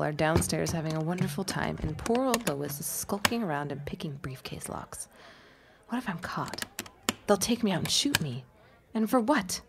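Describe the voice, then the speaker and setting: An actress playing a nervous, plucky amateur spy who talks wryly to herself in a period audio drama. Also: female voice, deep voice, creaky. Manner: wry, theatrical self-talk.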